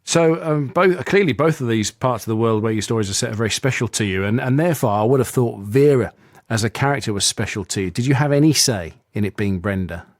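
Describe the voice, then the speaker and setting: A man's voice: mid-range voice, lively intonation, quick pace, dry recording. Curious male radio host, dry sound. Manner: curious, conversational questioning. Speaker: radio presenter. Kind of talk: casual radio interview.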